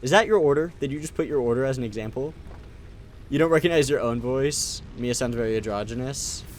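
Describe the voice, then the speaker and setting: Guy streaming a game, chatty and jokey, pitch bouncing all over, bit of background hiss. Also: expressive, a little fast. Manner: joking, rambling, lots of fillers. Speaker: streamer host. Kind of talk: casual gaming stream chat.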